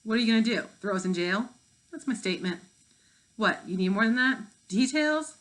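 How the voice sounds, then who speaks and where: A woman's voice: fairly high pitch, expressive, a little fast, a bit bright, rough recording. A woman reading the part of a defensive, cocky fifth-grade boy with an offhand manner.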